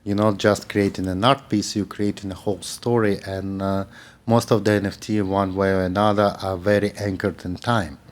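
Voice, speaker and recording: A man's voice: deep voice, flat delivery, moderate pace. A man hosting a talk show interview, speaking hesitantly with many fillers.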